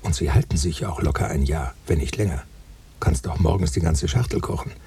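Deep, creaky, wry man over hiss. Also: a little fast, warm tone, distant mic. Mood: enthusiastic. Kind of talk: radio drama, German. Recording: hissy radio recording.

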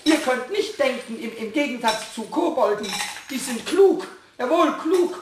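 A tiny, cheeky kobold with a very high, squeaky, childlike voice that leaps all over the place. He boasts, takes offence quickly and stumbles over repeated words in a roomy-sounding children's radio play. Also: animated, background noise. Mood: grumbling, scheming. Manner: stammers and repeats words when worked up.

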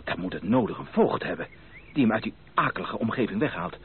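An actor playing an ardent, determined gentleman suitor, emphatic and romantic, in a Dutch radio play. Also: fairly low pitch, big pitch swings, fast talker. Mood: resolute, brisk. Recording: narrow, noisy old radio play recording.

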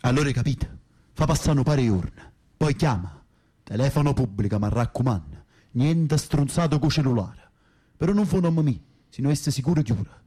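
An actor playing a tough, streetwise Camorra foot soldier in prison, blunt and commanding, with a Neapolitan accent, in a naturalistic radio drama. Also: male voice, medium pitch, expressive, normal speed, mellow, breathy edge. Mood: urgent instructing.

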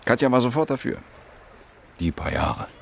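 A man's voice: medium pitch, sing-song, normal speed, gravelly, slight background noise. An actor playing a married economist in his mid-thirties, wry and evasive, speaking in clipped phrases in a radio play. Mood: defensive. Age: middle-aged.

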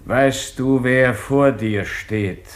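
An actor in a radio play as an aging, grandiose king, speaking in a declamatory, poetic way with hushed phrases. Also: mid-range voice, extremely slow. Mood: imperious, urgent. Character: aging, grandiose, brooding male king of Poland. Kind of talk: German radio play acting, poetic monologue.

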